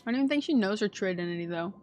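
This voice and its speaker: A woman's voice: medium pitch, expressive, very fast, darkish tone. A woman game streamer giving casual, chatty live reactions in an informal way. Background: game audio and music.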